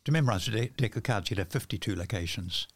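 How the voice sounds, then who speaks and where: An older male podcast guest speaks in a fairly low voice. He talks conversationally, halting and repeating words as he goes. The recording is dry and close. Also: animated, no echo. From New Zealand. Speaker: podcast guest, magician and author. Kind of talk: casual conversation.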